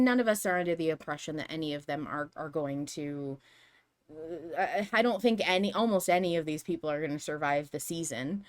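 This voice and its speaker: A woman with a slightly low voice speaks as a podcast co-host. She talks casually, like a fan speculating, and works through each possibility aloud in long, wandering sentences. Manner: rambling, reasoning aloud through hypotheticals.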